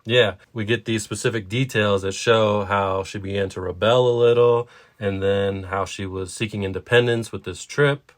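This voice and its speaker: A man with a fairly low voice speaks as a podcast co-host. He discusses writing conversationally, explaining his thoughts in a reflective manner. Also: fairly even intonation, normal speed, hardly any pauses.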